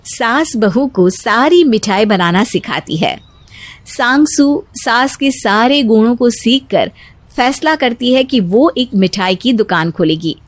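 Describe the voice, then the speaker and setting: A woman storyteller reads a scripted moral tale. Her voice sits fairly high and she narrates at a slow pace. The recording is close and dry. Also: animated, no echo.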